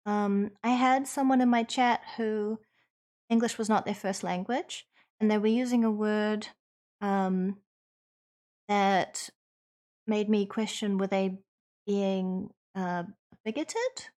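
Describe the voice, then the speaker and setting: Woman, New Zealand accent, livestream host, conversational, earnest, measured delivery, medium pitch. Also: steady tone, darkish tone. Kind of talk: conversational livestream Q&A, partly reading chat aloud.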